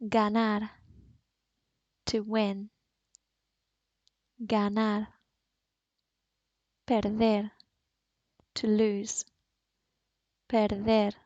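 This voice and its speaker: Woman teacher with a Spanish accent, very slow and level drill delivery, dull dry recording. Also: medium pitch, monotone, a little mellow, no room sound. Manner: slow, clearly enunciated word drills. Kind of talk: language lesson.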